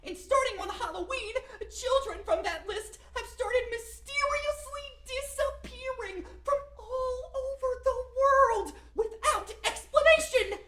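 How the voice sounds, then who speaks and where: A woman's voice: very high voice, measured pace, hardly any pauses, sharp tone, full character voice. A woman playing a tiny, chirpy and bossy Christmas elf with officious, formal speech in a radio drama. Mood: urgent, official. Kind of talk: amateur radio drama acting. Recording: roomy echo.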